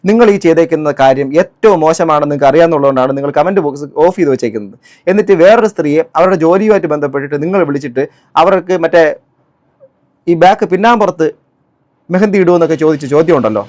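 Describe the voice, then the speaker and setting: A man's voice: a little high, animated, quick pace, darkish tone. A man giving spoken commentary on a clip, indignant and full of rhetorical questions. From Kerala, India. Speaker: reaction vlogger.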